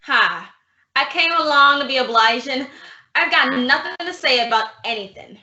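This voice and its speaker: A woman's voice: sits high, expressive, normal speed, pushed and bright, full character voice. A woman playing a brash, evasive man who stonewalls questions in a student radio drama. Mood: defiant. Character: evasive, defiant male lab owner. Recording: echoey, dull lo-fi room recording.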